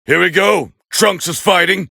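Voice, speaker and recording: Gruff haughty anime alien fighter, raspy n tense, highish for a man, calling fights in a game. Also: unhurried, no room sound, studio quality, heavily acted. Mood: commanding, disdainful. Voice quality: gravelly, raspy. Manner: haughty, forceful, clipped exclamations. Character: proud, gruff alien warrior man, scornful and competitive. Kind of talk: English-dubbed anime fighting game voice lines.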